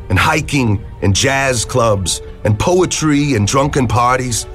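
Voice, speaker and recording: A man's voice: medium pitch, close to an everyday voice. A man playing historical American figures telling their own stories in a comic-book history piece. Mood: laid-back.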